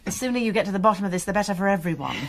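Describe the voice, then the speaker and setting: A woman playing a college nurse and amateur sleuth in a radio drama, gentle, earnest and persuasive. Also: medium pitch, racing, natural voice.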